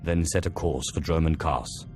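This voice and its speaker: A man playing a cool, sardonic dark lord in a video game, with dry, wry confidence. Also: a bass voice, normal speed, music in the background. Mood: commanding. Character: cool, sardonic dark Sith inquisitor, master of ghosts.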